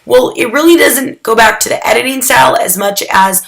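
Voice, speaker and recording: A hoarse young woman getting over a cold, talking casually through a photography critique, rambling with lots of "um"s and restarts. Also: on the high side, moderate pace, fairly bright tone. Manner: chatty and rambling, with many "um"s and restarts. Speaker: photography mentor critiquing student work. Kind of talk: casual recorded critique addressed to students.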